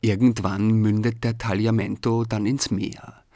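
A man's voice: on the low side, level tone, measured pace, dark tone. A man reading scripted, descriptive travel narration as the narrator of a radio program.

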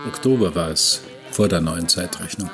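A low male voice tells a radio play in the first person, a reflective traveller working at sea. The telling is literary and wistful, with music beneath it. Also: normal speed. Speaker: narrator and protagonist.

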